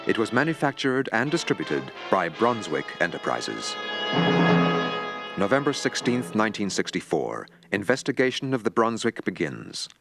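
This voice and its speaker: A man narrating a mock documentary in a measured, formal, newsreel-like way. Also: fairly low pitch, huge pitch swings, over music. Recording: narration over a clear film score.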